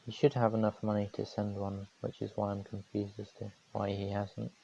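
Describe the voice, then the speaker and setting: A man calmly commentating his own strategy game on a live stream, his voice going hoarse, from New Zealand. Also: on the low side, moderate pace, highs cut off. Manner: calm, matter-of-fact strategy talk.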